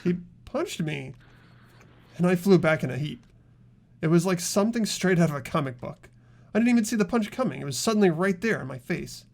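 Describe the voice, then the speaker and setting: A man voicing a plain young male student who narrates his own thoughts. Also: fairly high pitch. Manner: earnest inner monologue.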